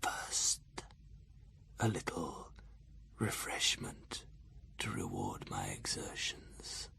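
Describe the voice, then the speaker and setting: A man voicing an ancient vampire count, mocking and predatory, in a slow, sneering whisper for a gothic audio drama. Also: a bass voice, big pitch swings, gravelly, bright, forceful tone, breathy edge, pitched below the performer's own voice, heavily acted. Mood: gloating.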